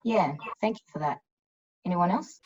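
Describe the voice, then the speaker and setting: A woman's voice: on the low side, moderate pace, slightly rough, recorded from a distance. Moderator, female, from Burundi; easygoing, encouraging, heard off-mic on a dull recording. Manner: relaxed, encouraging, hands the floor around. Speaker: discussion moderator, youth programs coordinator. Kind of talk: hosting a panel discussion.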